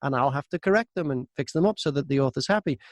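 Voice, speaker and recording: A man's voice: medium pitch, lively intonation, fast, no room sound, clean studio sound. A man who works as an audiobook narrator, being interviewed and telling work anecdotes casually, acting out remembered exchanges as he goes.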